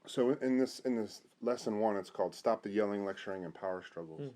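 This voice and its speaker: A middle-aged man, a pastor, hosting an informal conversational interview in a halting way. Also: lowish voice, warm tone. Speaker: host, pastor.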